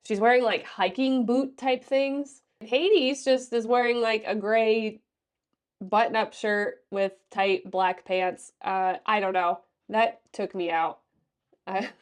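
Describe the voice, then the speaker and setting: A woman in her late twenties, stuffed up and congested, giving a chatty, sassy book review with plenty of fillers. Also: mid-range voice. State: congested from allergies. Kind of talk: casual book review vlog.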